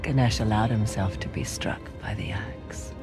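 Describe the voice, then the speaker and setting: An actor, a woman, playing a sardonic, quick-witted, defiant treasure hunter in a cinematic video game. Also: a bass voice, fairly even intonation. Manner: sardonic, irreverent. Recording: loud music behind the voice.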